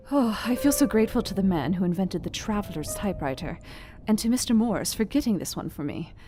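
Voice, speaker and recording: An actress playing an earnest young Victorian wife, reading her journal with precise, deliberate diction in a Gothic audio drama. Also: medium pitch, close to an everyday voice. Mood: weary, grateful. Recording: music behind the voice. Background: instrumental music.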